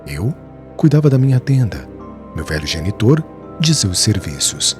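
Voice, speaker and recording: Man doing an earnest weaver in an audiobook, solemn and measured, low and lively in pitch, soft music underneath. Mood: grave. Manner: solemn, measured storytelling of past suffering. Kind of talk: audiobook character dialogue. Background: faint background music.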